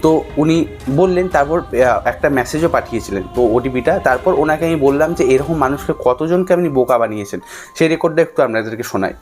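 A man's voice: medium pitch, fairly quick, a little mellow. A young man vlogger speaking unscripted to his viewers in an explanatory, cautionary way, with music behind him. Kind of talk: unscripted talk to camera, warning viewers.

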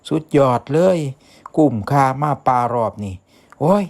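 A man's voice: mid-range voice, animated, unhurried, vocal fry, darkish tone. A man voicing a cheeky teenage village boy with an Isan accent, exclaiming to himself in a folk tale. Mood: excited, grumbling. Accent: Isan, northeastern Thai. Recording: echoey reverberant sound with music behind.

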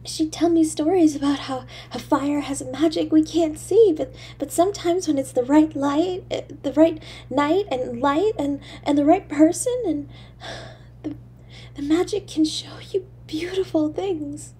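A woman voicing a poor, lonely little girl in an audio drama, halting and breathy, with childlike stammering. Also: high voice, dark tone. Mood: hushed wonder. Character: poor, lonely, freezing little match girl, timid and yearning. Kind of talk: solo audio drama monologue.

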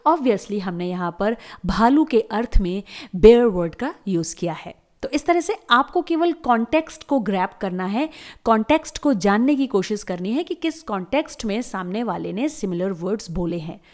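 A woman teacher, patiently explaining word meanings and repeating words slowly for learners in a voice-over lesson. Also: a little high, darkish tone. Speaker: English teacher. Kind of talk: explaining lesson to camera viewers. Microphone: voice-over on slides.

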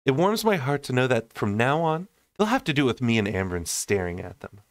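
A man's voice: mid-range voice, very animated, fairly quick, dry recording, pitched above the performer's own voice. A man acts out a sassy young woman from a fantasy storybook. The delivery is blunt, teasing and emphatic, with wry humor. The sound is dry, with very little room. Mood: mock stern.

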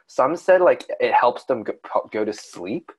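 Young male podcast co-host, calm soothing voice, rambling casual chat, mid-range pitch. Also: measured pace, breathy edge. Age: young adult. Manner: rambling, with many restarts and fillers.

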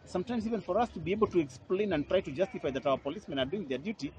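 A man's voice: sits high, expressive, very fast, a little mellow. A man, a lawyer speaking to the press outdoors at an airport, indignant and emphatic, repeating phrases for force. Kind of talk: press interview. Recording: noisy outdoor field recording.

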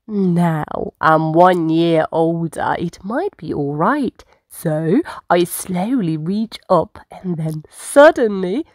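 A woman reading a children's picture book aloud as storytime host, with dramatic phrasing and drawn-out words. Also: fairly low pitch, expressive, darkish tone, dry recording, clean studio sound.

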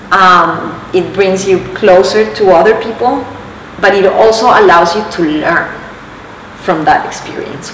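Middle-aged female interviewee, Colombian Spanish accent, lowish voice, reflective, distant mic in a reverberant room. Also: fairly bright tone. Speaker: artist being interviewed. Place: large high-ceilinged church exhibition space, indoors. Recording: echoey room, distant mic, clear background noise.

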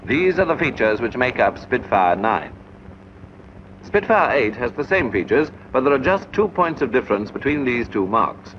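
A man reading scripted narration for a 1940s military training film in a measured, instructive way. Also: medium pitch, very animated. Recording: dull noisy 1940s film soundtrack.

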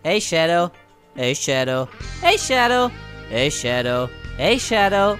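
A woman's voice: sits low, sing-song, slow, fairly bright tone, soft background music, full character voice. A woman voicing a cocky, laid-back young male hedgehog in a cartoon fandub, smug and slangy. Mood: smug, teasing. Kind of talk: German fandub cartoon acting.

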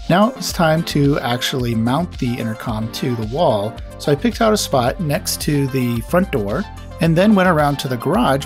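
A man's voice: mid-range voice, gravelly. A man hosting a hobby tutorial, explaining things step by step in a plain-spoken way. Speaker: host of an electronics hobby channel.

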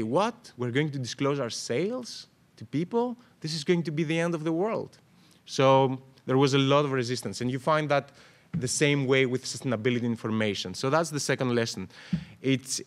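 A man in his thirties, originally from Greece, speaks at a conference panel into a table microphone on a stand. His talk is loose and unscripted, full of "um"s, restarts and lively hand-waving, at a middling pitch for a man. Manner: animated, gestures a lot, many fillers and restarts. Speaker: panel moderator. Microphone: table mic on stand.

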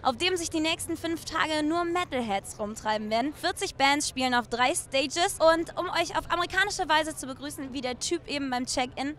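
A young woman with a German accent, interviewing people as a festival TV reporter on a ship's deck, chatty and quick to laugh. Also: high voice, a bit bright. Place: outdoor deck of a cruise ship. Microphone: handheld mic.

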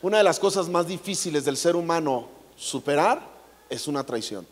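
Brief: jokey Mexican preacher, high-pitched man, long hall reverb. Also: expressive, moderate pace, breathy edge. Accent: Mexican Spanish. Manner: playful, jokey, acts out quotes. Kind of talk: comedic stage sermon. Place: large theater stage. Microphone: handheld mic.

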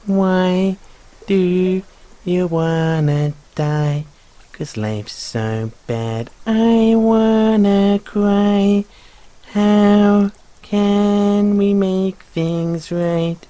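A man acting a very slow, weepy, tormented mascot. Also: on the high side, mellow. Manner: slow, halting, self-pitying, tearful delivery. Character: weepy, bitter, tormented frog mascot haunting an abandoned amusement park. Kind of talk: audio roleplay monologue with mournful sung counting rhyme.

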